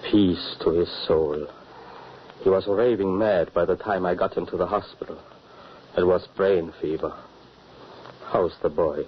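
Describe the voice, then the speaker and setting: Low-voiced, grave, kindly husband. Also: male voice, steady tone, unhurried, pauses often. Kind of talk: old-time radio drama acting. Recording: muffled, hissy old radio recording.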